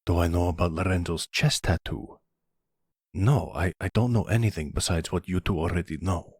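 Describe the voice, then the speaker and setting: A man's voice: low-pitched, normal speed, darkish tone, slightly rough, no room sound, clean studio sound. A man voicing a polite, nervous young grown son in a mafia ASMR roleplay, hesitant and stammering. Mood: nervous, defensive.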